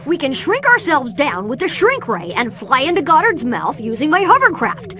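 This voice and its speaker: A woman's voice: sits high, huge pitch swings, moderate pace, pushed and bright, heavily acted. A woman voicing a brainy, earnest boy inventor in a cartoon video game, eagerly explaining technical plans. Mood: determined.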